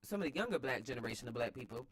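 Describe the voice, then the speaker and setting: A man's voice: medium pitch, expressive, racing, fairly bright tone, slight background noise. An American man giving casual, opinionated commentary as host of a read-aloud, emphatic and slangy, repeating phrases for emphasis.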